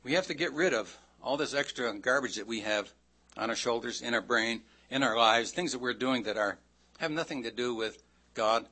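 A man preaching a sermon in a bright, forceful voice at a middling pitch. His delivery is folksy and loose. He drops dry, self-deprecating asides and slows down to read scripture. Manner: reads scripture aloud slowly.

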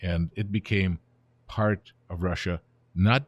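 Low-voiced older man, unhurried storyteller. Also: fairly even intonation, dry recording, highs cut off. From born in Germany, raised in the United States. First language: Ukrainian. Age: 70s. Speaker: guest. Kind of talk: conversational interview, explaining history.

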